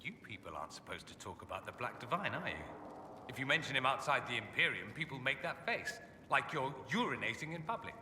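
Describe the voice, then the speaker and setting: An actor playing a witty, haughty mage from a fantasy empire, speaking with suave, theatrically arch sarcasm in a video game. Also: male voice, mid-range voice, big pitch swings, quick pace, recorded from a distance, close to an everyday voice. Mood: wry disdain. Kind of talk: video game character dialogue. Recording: echoey hall, clear background ambience noise.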